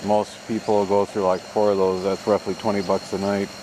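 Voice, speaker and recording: A man's voice: on the low side, flat delivery, moderate pace. An American man giving a rambling, folksy, casual vlog narration, recorded outdoors on a handheld camera. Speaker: vlogger. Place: outdoors at a campground. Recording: outdoor camcorder with ambient vehicle noise.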